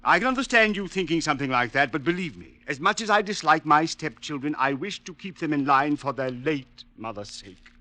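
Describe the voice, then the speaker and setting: A man playing an irritable, excitable wealthy stepfather with a curt, fussy manner in an old-time radio drama. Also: a little high, brisk, hardly any pauses, no room sound. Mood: curt, resentful.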